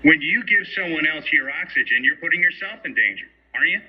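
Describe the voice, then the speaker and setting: A man's voice: fairly high pitch, lively intonation, fast, a little hiss or hum. A man working as a radio interviewer, putting questions in a conversational way. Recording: dull, lo-fi radio sound.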